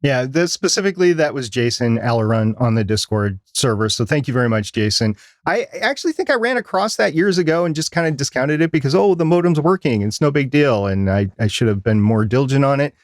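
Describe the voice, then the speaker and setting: Guy co-hosting a podcast, explaining stuff, mid pitch, really dry sound. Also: lively intonation, brisk, hardly any pauses, dry recording. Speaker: podcast co-host.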